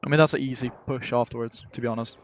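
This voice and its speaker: A man's voice: mid-range voice, steady tone, rapid-fire, muffled recording. A man calling strategy to his esports team over voice chat in urgent, repeated callouts with casual swearing. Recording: game gunfire and vehicle noise behind. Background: shooter game gunfire and effects.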